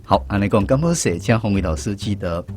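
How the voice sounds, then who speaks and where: A man's voice: lowish voice, expressive, fairly quick, darkish tone. A man with a Taiwanese accent presenting a radio programme as its host, reading scripted segments and credits. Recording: music playing behind the voice. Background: background music.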